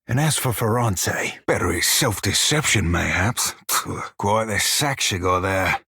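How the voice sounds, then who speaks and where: Crude wry pirate shiphunter in a game, raspy husky voice, pirate accent, very dry studio sound. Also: male voice, lowish voice, lively intonation, measured pace, bright, forceful tone, dry recording, exaggerated voice. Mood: wry, salty. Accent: pirate-style dialect. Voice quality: husky, rough-edged. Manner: salty, drawling sailor slang with sighs. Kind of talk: video game character dialogue and barks.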